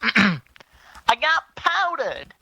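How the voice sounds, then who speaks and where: A woman playing a lively, aggrieved young woman in an audio drama. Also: on the high side, extremely slow, vocal fry, husky, dry recording, heavily acted. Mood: indignant. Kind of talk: audio drama acting, outtakes.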